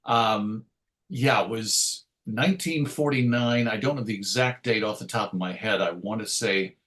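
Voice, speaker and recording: A man's voice: on the low side, normal speed, fairly bright tone. A man speaking as an interview guest, conversational and thoughtful, illustrating his points with anecdotes and quoted asides. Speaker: interview guest, radio show host.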